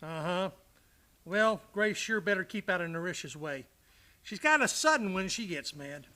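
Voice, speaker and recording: An actor playing a rough, hearty, affectionate frontiersman with folksy speech in a western radio play. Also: high-pitched, lively intonation, moderate pace, sharp tone. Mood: fond, amused.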